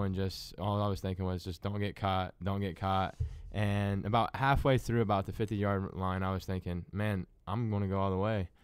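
A man's voice: lowish voice, smooth, dry recording. A young man in his twenties, a college running back, telling his story in a laid-back way on a radio show. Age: 20s. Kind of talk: casual radio interview. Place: radio studio.

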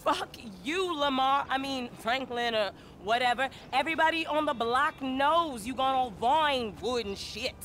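A woman's voice: high voice, normal speed, few pauses, fairly bright tone, soft background music. An actress playing a scrappy, drug-worn street woman in a video game, slangy and foul-mouthed, defensive and wheedling. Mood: scornful. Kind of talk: video game cutscene acting.

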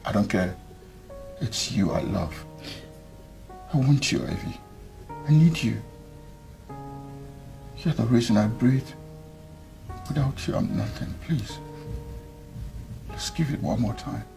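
An actor playing a two-timing young groom who pleads softly and evasively. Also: male voice, mid-range voice, slow pace, vocal fry, long pauses, music in the background. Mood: pleading. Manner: pleading, soft and insistent.

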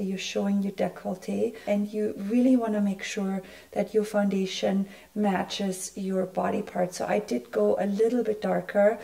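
Hoarse, gravelly woman losing her voice. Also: medium pitch, mellow. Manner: chatty, friendly, explains as she works. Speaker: makeup artist. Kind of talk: makeup tutorial.

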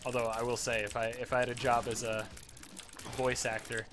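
A man's voice: medium pitch, flat delivery, moderate pace. Young guy w sore throat rambling thru a game, cursing at it, kinda hissy recording. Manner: rambling, self-deprecating, swears at the game. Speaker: let's play commentator. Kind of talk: casual gameplay commentary.

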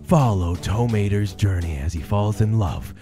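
A booming movie trailer announcer, as a young man puts him on, pitched very low and laid thick over music. The delivery is overblown and dramatic. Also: moderate pace, natural voice.

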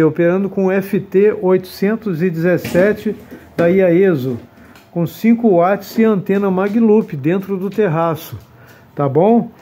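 A man's voice: sits high, warm tone. A man, chatting casually and courteously as an amateur radio operator. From Brazil. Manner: friendly, courteous, repeating sign-offs. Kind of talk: casual radio contact talk.

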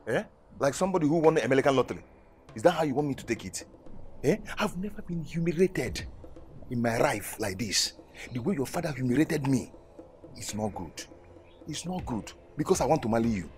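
A man's voice: medium pitch, fairly quick, pauses often, slight background noise, lightly acted. Man playing an indignant Igbo suitor. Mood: humiliated. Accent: Nigerian, Igbo. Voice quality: animated, pleading. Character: young male suitor, indignant, proud. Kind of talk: skit acting, mixing Igbo and English. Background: music.

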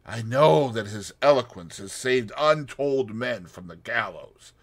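A man voicing a calm, scornful scheming society woman who speaks slowly and in a measured way. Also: mid-range voice, voice pushed up, full character voice.

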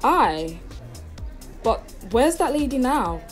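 A suspicious, exasperated sister in a Jacobean audio play, performed by a woman. Her voice is high and very animated, taken slowly, with soft music behind it. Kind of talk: Jacobean audio drama, theatrical.

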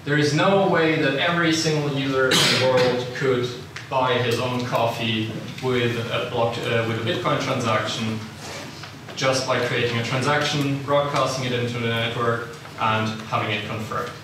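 A young man with a Swiss accent gives a relaxed, self-deprecating seminar talk, scattered with ums and uhs. He is picked up from a distance by a room camera. The lecture room rings with heavy echo, and a steady background noise runs under his voice. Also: mid-range voice, normal speed. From Italian-speaking Switzerland. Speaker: seminar speaker. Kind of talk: technical lecture to a live audience. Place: lecture room with a projector screen. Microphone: far room camera, no visible mic.

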